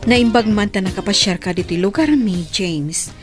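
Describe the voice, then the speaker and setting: A woman's voice: medium pitch, normal speed, music in the background, close to an everyday voice. A woman playing a friendly, shy sweetheart in a radio drama.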